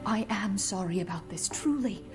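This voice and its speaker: An actress playing a composed female professor and agent in an audio drama, measured and earnest in manner. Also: medium pitch, lively intonation, close to an everyday voice. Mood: earnest, apologetic. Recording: music behind the voice.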